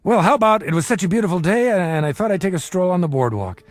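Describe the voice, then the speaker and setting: An actor playing a world-weary, middle-aged small-time bookie, wisecracking with breezy bravado in a radio crime drama. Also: male voice, sits high, lively intonation, fast talker, dry recording, studio quality.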